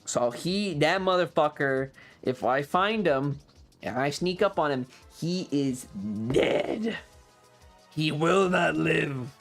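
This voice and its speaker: A man's voice: fairly high pitch, slow pace, fairly bright tone. Sweary, emphatic male streamer over music. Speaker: livestreamer. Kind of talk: casual chat, animated storytelling to stream viewers.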